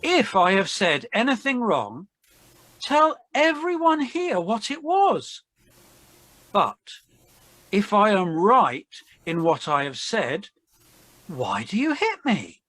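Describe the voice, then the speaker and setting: Woman doing calm solemn jesus in a bible reading, really slow, dry close sound, voice rises and falls a lot. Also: medium pitch, dry recording, heavily acted. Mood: calm challenge. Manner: slow, deliberate, measured delivery. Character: Jesus, calm, solemn man under arrest and questioning.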